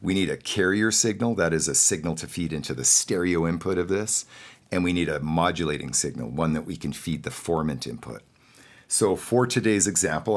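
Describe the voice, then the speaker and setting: Low-voiced man giving a casual tutorial. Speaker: host and audio production instructor. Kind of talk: casual tutorial, explaining studio gear.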